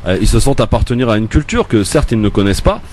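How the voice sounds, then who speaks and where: A man hosting a radio phone-in debate, moderating the table and the callers in a lively way. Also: on the low side, fast talker, a little hiss or hum. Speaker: radio talk show host.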